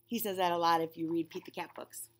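A woman in her forties reading a children's storybook aloud, with an expressive, deliberate storytelling delivery. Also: on the low side. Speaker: children's storybook reader, teacher. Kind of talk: read-aloud for young children. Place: classroom, indoors.

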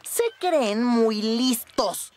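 Cocky, boastful cartoon boy, high-pitched. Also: expressive, very noisy. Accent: Latin American Spanish. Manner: cocky, teasing, drawn-out delivery. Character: boastful, mischievous young boy who loves fibbing. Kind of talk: animated children's cartoon dub. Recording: loud music behind the voice.

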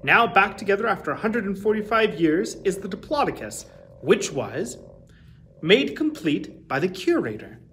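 A man in his thirties reading a children's picture book aloud as a storytime host, with deliberate, emphatic phrasing. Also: high voice, big pitch swings, fairly bright tone, slight background noise, rough recording.

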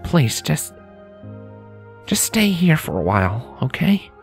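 A woman voicing a friendly, excitable young male pony tinkerer in a storybook reading, with casual, earnest speech. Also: sits low, takes it slowly, soft background music, voice pushed up, full character voice. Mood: earnest, insistent. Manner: casual, earnest, hesitant with filler words.

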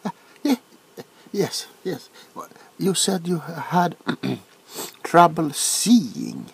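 A middle-aged man playing a nervous, bewildered, awkwardly polite doctor in a soft-spoken comedic ASMR roleplay, stammering and repeating himself. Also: a little high, lively intonation, drawn-out words, creaky, husky, pitched above the performer's own voice, heavily acted. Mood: hesitant. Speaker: ASMR performer.

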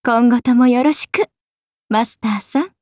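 A woman's voice: on the high side, unhurried, darkish tone, no room sound, clean studio sound. A woman voicing a breezy, friendly grown serpent-goddess in a game, with chatty, teasing, laid-back slang. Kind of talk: game character dialogue.

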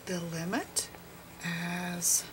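A woman voicing an eccentric, digressive physicist professor in a whispery, halting ASMR roleplay lecture. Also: on the low side, flat delivery, background noise. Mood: musing, pedantic. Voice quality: whispery, breathy. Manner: halting, pedantic, rambling.

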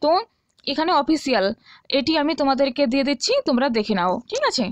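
A woman tutor with a very high-pitched voice walks listeners through exam questions. She reads each item out in an instructional way and often adds little checks that they follow. The sound is dry and close, with little room echo. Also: animated, fast. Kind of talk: instructional walkthrough, reading out questions and answers.

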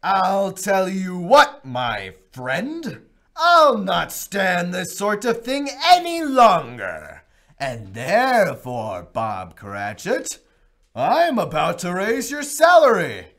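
An actor playing an elderly miser who has turned giddy and generous, in theatrical radio-drama style with frequent laughter. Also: male voice, high-pitched, huge pitch swings, slow, pushed and bright, exaggerated voice. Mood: teasing. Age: older.

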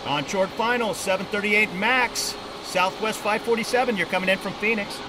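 A man with a high voice gives quick, casual plane-spotting commentary. He is recorded outdoors with clear background noise. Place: outdoors near an airport runway. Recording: noisy outdoor camera audio.

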